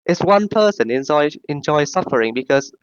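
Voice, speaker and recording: A man with a sore throat in a casual group voice chat, rambling and halting, full of fillers. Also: fairly high pitch, animated, clean tone, no room sound, dull audio. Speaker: discussion participant.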